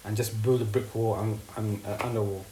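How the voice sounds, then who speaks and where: A man's voice: on the low side, level tone, fairly quick. A young man from Ethiopia, co-hosting a casual chat in a blunt, teasing way with street slang. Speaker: podcast co-host. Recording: echoey room with faint noise.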